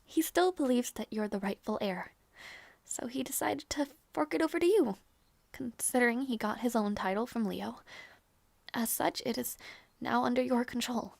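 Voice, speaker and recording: A woman playing a gentle, earnest young princess in a romantic fantasy audio roleplay, speaking softly and hesitantly with tender affection. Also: fairly high pitch, gravelly, dry recording. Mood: earnest, reassuring. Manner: soft, hesitant, tender, halting delivery.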